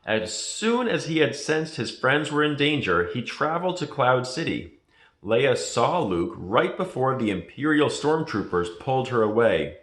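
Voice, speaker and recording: A man reading a children's story aloud as a scripted narration, in a dramatic, deliberate manner. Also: mid-range voice, very animated, a bit bright. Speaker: storybook reader. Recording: echoey room.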